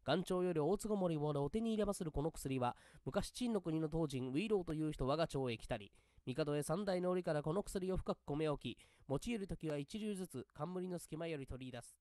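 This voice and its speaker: A man's voice: a little high, expressive, fairly quick, few pauses, warm tone, dry recording. A man giving a declamatory, theatrical recitation of an old kabuki speech.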